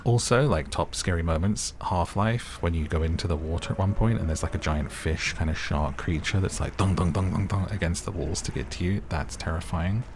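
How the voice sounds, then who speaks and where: A man's voice: sits low, moderate pace, few pauses, noisy background. A man hosting a let's-play with chill, rambling commentary and self-deprecating asides, his voice a little worn today. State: slightly losing his voice. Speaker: gaming let's-play host. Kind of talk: chill casual gameplay commentary. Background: background music.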